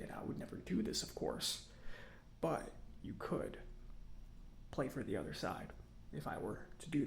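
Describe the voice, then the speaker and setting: Soft ASMR robot mechanic, acted by a man: near-whispering, slightly high male voice with gravelly fry, chatty and smug, musing at leisure. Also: a little hiss or hum. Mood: sly, hypothetical. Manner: unhurried, hesitant musing with pauses. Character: chatty, self-satisfied government robot mechanic, gently scolding. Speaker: ASMR roleplay performer. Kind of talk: soft-spoken ASMR roleplay.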